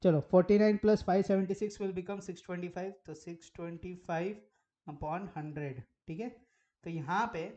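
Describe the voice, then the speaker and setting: A hoarse man teaching maths at a whiteboard, his voice mellow and a little dark. He works through each step and keeps asking what is left or what comes next. The recording sounds dull and lo-fi. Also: high-pitched. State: hoarse from a sore throat.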